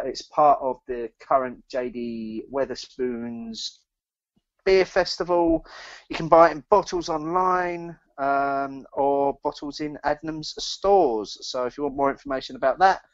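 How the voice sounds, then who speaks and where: A man's voice: fairly high pitch, lively intonation, a little slow, no room sound, highs cut off. A British man co-hosting a podcast, talking in a casual, chatty style about what is in front of him. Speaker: podcast co-host reading news. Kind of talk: casual beer podcast chat.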